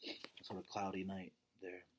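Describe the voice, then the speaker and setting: A man doing a children's read-aloud, expressive, with casual asides and questions that check in with his listeners. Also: sits low, normal speed.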